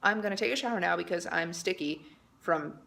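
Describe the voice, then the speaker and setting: A woman's voice: on the low side, a little fast, vocal fry, fairly bright tone. A woman chatting casually to camera as a book vlogger, slangy and opinionated in how she talks. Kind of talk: casual vlog chat to camera.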